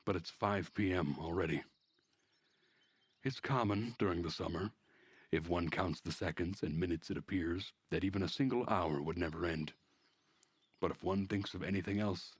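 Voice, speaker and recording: A man narrating a visual novel aloud as a streamer, in a measured, introspective reading manner. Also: low-pitched, husky, dry recording. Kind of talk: narration of a story read aloud.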